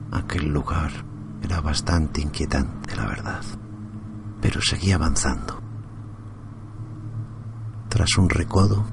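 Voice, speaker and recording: A man narrating a first-person horror story in a suspenseful, drawn-out, often hushed way. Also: rumbling low, vocal fry, background noise. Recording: loud music under the voice. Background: loud music.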